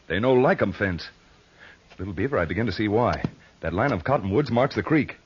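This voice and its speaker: Fair-minded frontier hero, folksy and animated, rough-edged voice, dull 1940s radio sound. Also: male voice, lowish voice, brisk. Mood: observant, calm. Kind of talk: 1940s radio western acting. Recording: muffled old radio broadcast recording.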